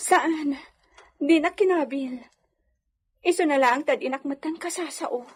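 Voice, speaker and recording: A woman's voice: sits high, slow pace, darkish tone. A woman acting a meek, devoted young wife in an Ilocano radio drama, with an Ilocano accent. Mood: hurt, gently pleading.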